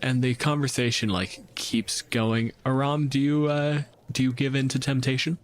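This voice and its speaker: A man's voice: medium pitch, steady tone, moderate pace. A man speaking informally as a game master, narrating scenes and ruling on play with casual swearing.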